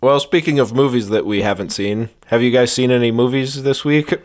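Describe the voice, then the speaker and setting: Man, medium pitch, podcast host, dry mock-earnest delivery, casual joking conversation. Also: a little fast. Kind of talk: casual comic banter.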